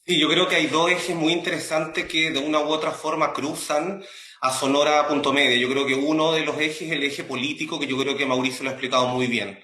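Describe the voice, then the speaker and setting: A man's voice: fairly high pitch, steady tone, fairly quick, bright, forceful tone. A man with a Chilean accent explaining earnestly as an interview guest, often rephrasing, with a roomy, echoing sound. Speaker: academic and radio drama creator, interview guest.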